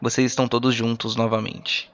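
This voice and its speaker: A man's voice: medium pitch, flat delivery, fairly quick, fairly bright tone, some chatter behind. A man narrating a tabletop roleplaying game as game master. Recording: dull lo-fi, music behind.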